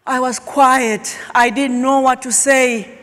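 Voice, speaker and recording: A middle-aged woman from Zimbabwe, a Shona speaker, telling her personal story as a keynote speaker with emphatic pauses. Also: sits high, fairly even intonation, a bit bright. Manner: slow, emphatic, dramatic pauses. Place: large auditorium stage. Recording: long hall reverb.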